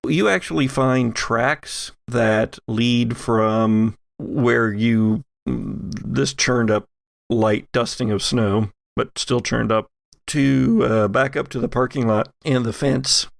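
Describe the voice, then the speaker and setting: A man narrating a tabletop roleplaying game conversationally as game master, pausing to think as he goes. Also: mid-range voice, fairly even intonation, gravelly, dry recording.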